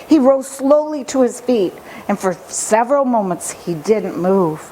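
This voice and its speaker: Slow, high-voiced woman storyteller. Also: lively intonation, mellow, a little hiss or hum, low-quality recording. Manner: dramatic, deliberate phrasing. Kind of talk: expressive children's story reading.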